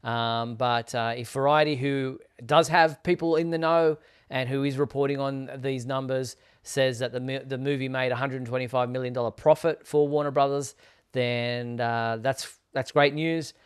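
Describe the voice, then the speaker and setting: A man with a clear, resonant, mid-pitched voice hosts a casual fan discussion. He explains his points in a measured manner, with halting phrasing as he finds his words. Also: steady tone. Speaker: host of a Superman fan live show.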